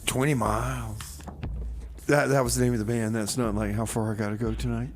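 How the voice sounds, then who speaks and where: A man's voice: mid-range voice, steady tone, fairly quick, a little hiss or hum, close to an everyday voice. A man playing an eager, stubborn doomsday survivor and radio host in a casual comedy show, rambling and repeating himself.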